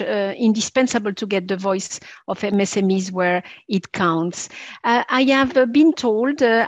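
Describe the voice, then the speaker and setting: A woman's voice: mid-range voice, no room sound. A woman moderating an online session, speaking hesitantly with many fillers. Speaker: webinar moderator. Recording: dull lo-fi online call audio.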